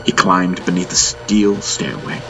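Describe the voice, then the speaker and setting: A man narrates a science-fiction audiobook in a low, deep voice. His delivery is scripted, dramatic storytelling, and music plays clearly behind him. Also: animated, a little slow.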